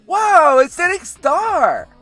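A woman's voice: very high-pitched, big pitch swings, slow, a bit bright, dry recording, soft background music, heavily acted. A woman playing a blunt, sarcastic, exasperated teenage girl in an amateur comedy machinima. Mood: impatient.

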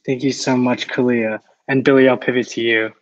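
A man's voice: mid-range voice, level tone, brisk, no room sound. A young man moderating a book discussion on a video call, appreciative, with frequent fillers. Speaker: interviewer, event moderator. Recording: dull video call audio.

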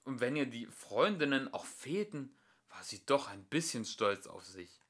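A man's voice: medium pitch, big pitch swings, unhurried, fairly bright tone, husky. A man narrating a children's story expressively, as an amateur audiobook reader.

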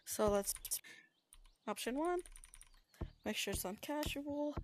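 Young woman, slightly high voice, casual gameplay tutorial narration, faint hiss. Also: lively intonation, slow pace. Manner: chatty, restarts sentences, explains step by step.

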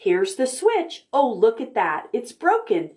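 Sing-song woman, lively high pitch. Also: unhurried. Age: middle-aged. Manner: sing-song, exaggerated, instructing small children. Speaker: library storytime host for toddlers. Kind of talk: children's storytime, read-aloud and action rhymes. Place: indoor room with stuffed animals and painted backdrop. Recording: roomy echo, music at times.

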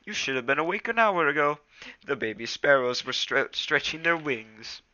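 A man voicing an excitable, easygoing young male house cat in a storybook, with an eager, shrill and dramatic manner. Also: normal speed, lo-fi sound, a little hiss or hum. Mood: teasing.

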